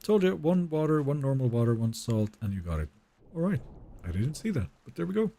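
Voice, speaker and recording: A man's voice: medium pitch, big pitch swings, fairly quick, vocal fry, mellow. A young man whose first language is Finnish, casually chatting with viewers and reading their messages during a live game stream from a home setup. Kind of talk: live gameplay stream, reading chat aloud.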